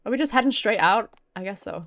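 A woman's voice: medium pitch, expressive, fast, a bit bright. A British woman giving casual, playful, sweary live commentary as she streams a video game. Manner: playful, sweary, chatting to viewers.

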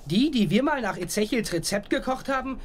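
Lively, puzzled young boy played by an actor. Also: medium pitch, fairly quick, slight background noise, lightly acted. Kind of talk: children's audio drama acting. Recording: faint ambience behind.

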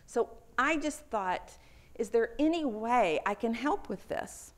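A woman's voice: on the high side, expressive, slow pace. A woman in her fifties from Houston with a Texan accent, giving a lightning talk at a conference and telling personal stories in an earnest way. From Houston, Texas. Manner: earnest, personal storytelling with a few fillers. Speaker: conference speaker. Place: conference room.

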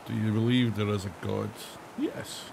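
A raspy, gravelly man in his thirties talks through a game on a headset at home, reading questions aloud and cracking jokes between answers. His voice is a little low and worn hoarse, with a steady noisy hiss behind it. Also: normal speed. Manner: reads on-screen questions aloud and answers them, jokey. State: hoarse from days of singing. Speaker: gaming channel host. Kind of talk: casual let's-play commentary. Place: home room, indoors.

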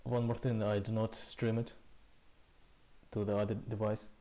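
A man gives a casual screencast tutorial in a low, mellow voice. His intonation stays very flat, and he moves through the explanation haltingly, a short phrase at a time. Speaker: programming tutorial presenter. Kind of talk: casual screencast code walkthrough. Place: indoors, voice-over recorded with screen capture.

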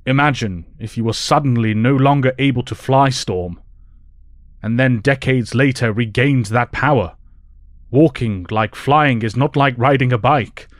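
A man's voice: medium pitch, no room sound, lightly acted. Mentor professor, male played by an actor: reflective, formal phrasing, medium voice, dry studio sound. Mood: reflective, solemn. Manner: measured, formal. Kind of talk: audio drama acting.

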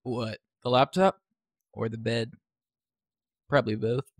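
A woman playing a sarcastic, foul-mouthed teenage boy in an audio drama, with a husky, deadpan delivery. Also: rumbling low, a little slow, no echo, studio quality, full character voice. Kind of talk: podcast audio drama acting.

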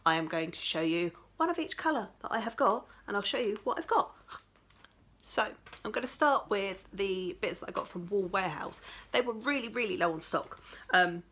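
A woman's voice: medium pitch, expressive, normal speed, fairly bright tone, breathy edge. A woman, tired and chatty, showing off yarn from a small craft room at home. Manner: chatty, rambling, with many fillers. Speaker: crochet vlogger. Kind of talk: casual chat, showing yarn.

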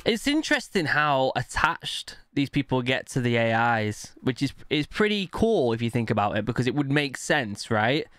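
A man's voice: mid-range voice, big pitch swings, moderate pace, few pauses, fairly bright tone, no room sound. A British man in his thirties giving casual, enthusiastic, exclamatory reaction commentary into a close microphone. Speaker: reaction video host. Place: small indoor room at home. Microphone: close desk microphone.

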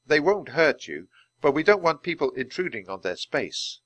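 A man voicing a serious adult panda keeper in a storybook reading, measured and deadpan. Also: fairly high pitch, no echo, lo-fi sound, voice pushed up. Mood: calm.

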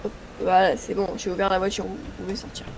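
A man's voice: high-pitched, level tone, quick pace. A male player improvising game roleplay as a robber, giving polite but hesitant commands. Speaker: online player acting as robber. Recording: clearly noisy game voice chat.

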